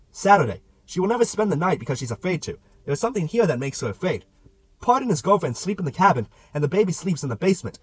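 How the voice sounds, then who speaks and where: Man, amateur audiobook narrator, lively swooping intonation, theatrical, dry recording. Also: a little high, breathy edge, no room sound, rough recording. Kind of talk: audiobook narration of a literary novel.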